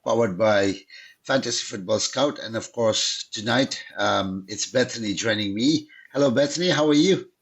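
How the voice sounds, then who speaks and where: A man hosting a casual football fantasy podcast, hoarse from a cold, who fills his talk with "um" and "of course". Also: fairly low pitch, moderate pace, highs cut off. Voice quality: husky. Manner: frequent fillers and "of course". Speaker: podcast host. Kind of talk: casual chat.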